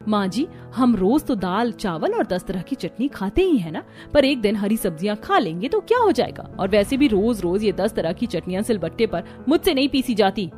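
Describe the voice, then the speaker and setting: A woman's voice: medium pitch, sing-song, moderate pace, hardly any pauses, a little mellow, natural voice. A woman voicing a dutiful, weary young daughter-in-law in an audio story, polite and plaintive. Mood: pleading. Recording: music behind the voice.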